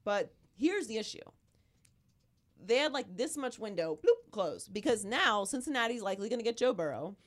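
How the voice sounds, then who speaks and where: A woman in her thirties hosting a casual sports podcast, her voice hoarse from illness, talking in an animated, emphatic way. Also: a little high, normal speed. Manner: animated, emphatic, riffs with rising exclamations. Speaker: podcast host.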